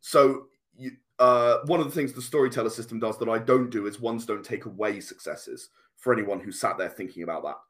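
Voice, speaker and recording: British male guest, mid-pitched, conversational explainer, earnest, with hesitant restarts. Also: brisk, hardly any pauses, a bit bright. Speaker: guest, tabletop RPG and comic creator.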